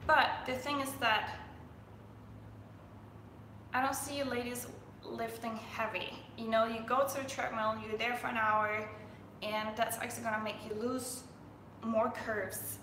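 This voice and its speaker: A woman's voice: a little high, little pitch movement, a little slow, long pauses, pushed and bright, reverberant, a little hiss or hum, low-quality recording. A young woman from Denmark, a fitness coach chatting on a live stream, full of fillers and restarts.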